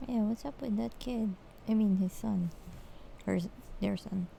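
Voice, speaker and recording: A woman's voice: mid-range voice, measured pace, mellow, a little hiss or hum. A woman giving casual live commentary on a game, with offhand, muttered reactions. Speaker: game streamer. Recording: game music clearly behind.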